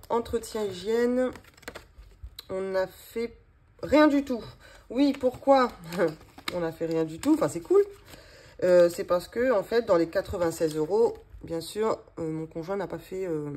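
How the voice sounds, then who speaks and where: A woman's voice: fairly low pitch, measured pace, dark tone. A woman in her thirties with a regional French accent, chatting casually and rambling with many fillers while going through her monthly budget. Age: 30s. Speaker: budgeting vlogger. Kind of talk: casual chat while doing a cash-envelope budget.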